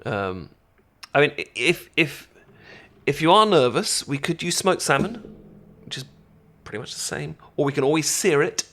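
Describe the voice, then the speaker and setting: A British man acting out an eager, friendly best friend in a casual ASMR roleplay, with a halting, stammering, rambling way of talking. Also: a little high, expressive, fairly bright tone. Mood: reassuring. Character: friendly, eager young male best friend teaching sushi-making.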